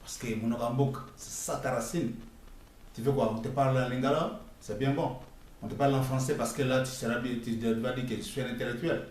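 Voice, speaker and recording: A man's voice: mid-range voice, steady tone, darkish tone, a little hiss or hum. Congolese man lecturing from afar outdoors. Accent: Congolese French. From Democratic Republic of the Congo. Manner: emphatic, scolding, halting with frequent pauses. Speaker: vlogger. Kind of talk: casual spoken commentary. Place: outdoors near a construction site. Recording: echoey and distant with faint ambience.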